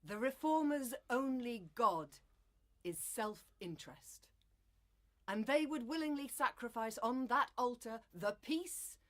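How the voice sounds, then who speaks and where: An actress plays a haughty female orator from 1830s England in an audio drama. She declaims slowly and emphatically in a fairly high voice. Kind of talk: audio drama, formal historical oration.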